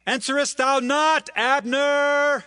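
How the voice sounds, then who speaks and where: An actor playing an earnest, devout ancient warrior leader in a dramatized Bible, speaking in a solemn, declamatory way. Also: male voice, very high voice, fairly even intonation, slow pace, pushed and bright, dry recording, exaggerated voice. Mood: loud, challenging. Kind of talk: dramatized Bible reading, archaic English.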